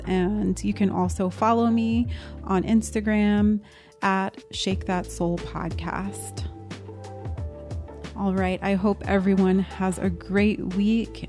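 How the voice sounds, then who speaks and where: A woman's voice: medium pitch, warm tone. Upbeat woman hosting a podcast. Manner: upbeat, chatty, encouraging. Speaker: podcast host. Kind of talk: casual podcast outro.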